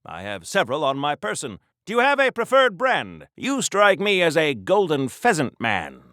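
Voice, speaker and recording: An old-fashioned video game superhero, earnest and a little awkward. His voice sits fairly high and swings widely in pitch, with a bright, forceful ring. He chatters primly in quaint mid-century exclamations, recorded close and dry. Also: wildly swooping pitch, moderate pace, no echo, exaggerated voice. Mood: earnest, chatty. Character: earnest, awkward, prudish old-time golden age superhero.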